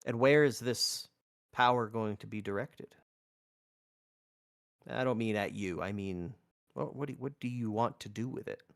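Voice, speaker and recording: A man voicing a measured, scholarly elf mage in a tabletop game, with hesitant, questioning delivery. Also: lowish voice, vocal fry, dry recording, studio quality. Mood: probing. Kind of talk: tabletop roleplay dialogue.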